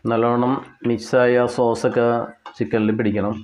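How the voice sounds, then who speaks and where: A man with a Kerala Malayali accent giving step-by-step cooking instructions in a plain way, with pauses and repetitions. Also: mid-range voice, flat delivery, darkish tone, slight background noise. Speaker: home cook presenter. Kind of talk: step-by-step recipe narration.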